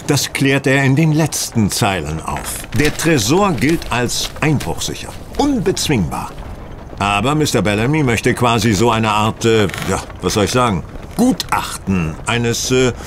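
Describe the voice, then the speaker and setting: An actor playing a self-assured, wry gentleman detective in a radio play, explaining in a measured, teasing way. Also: medium pitch, big pitch swings, noisy background. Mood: explanatory. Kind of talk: German radio play acting.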